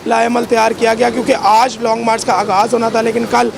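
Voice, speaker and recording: Hoarse, gravelly male field reporter. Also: extremely high pitch, level tone, brisk, a crowd in the background. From Pakistan. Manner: rambling, many fillers. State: sore throat. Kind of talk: live news report. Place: outdoors by a street. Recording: noisy outdoor street with traffic.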